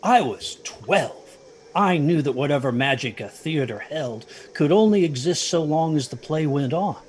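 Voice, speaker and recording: A man's voice: on the high side, expressive, normal speed, a little hiss or hum, lightly acted. An actor playing a protective, haunted father who tells his children a ghost story in a hesitant, earnest way, in an audio drama. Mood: regretful. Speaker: storyteller narrator.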